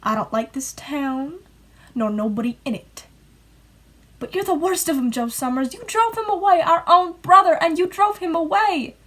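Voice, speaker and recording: A woman's voice: extremely high pitch, moderate pace, fairly bright tone. A woman playing a bitter, folksy small-town sister who nags and accuses, in an amateur play acted over video call. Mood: bitter, accusing. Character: bitter, defiant small-town woman nagging her brother. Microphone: video call microphone.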